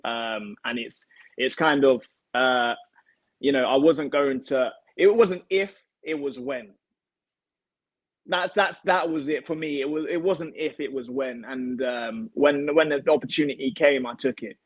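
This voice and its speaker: A young man chatting as an interview guest on a video call, agreeable and halting, repeating words as he goes. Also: mid-range voice, no room sound. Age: young adult. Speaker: guest, karate athlete. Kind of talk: casual interview chat. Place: home kitchen, on a video call.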